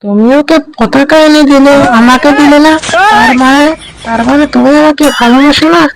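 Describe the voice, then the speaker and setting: A woman's voice: sits high, normal speed, vocal fry. A woman voicing a taunting, combative fox co-wife in a cartoon. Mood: hurt, angry. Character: taunting, easily hurt fox co-wife, rival football fan. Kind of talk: Bengali cartoon voice acting.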